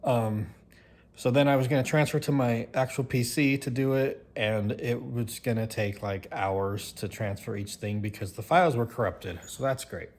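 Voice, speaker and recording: A man's voice: mid-range voice, normal speed. A man talking casually to the camera as a vlogger, rambling with plenty of fillers. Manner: rambling, chatty, lots of fillers. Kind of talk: casual vlog talk.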